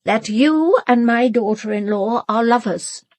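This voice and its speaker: A woman playing an imperious, sharp-witted elderly aristocratic lady in a British radio drama, with an upper-class English accent and a formal, cutting, controlled delivery. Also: on the high side, a little slow, no room sound. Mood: cool, insistent. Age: older.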